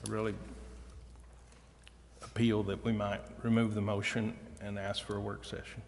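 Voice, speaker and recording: A man speaking as a council member in formal debate, with deliberate, careful phrasing. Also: fairly low pitch, level tone, vocal fry. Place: indoor council chamber. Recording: reverberant meeting chamber with background noise.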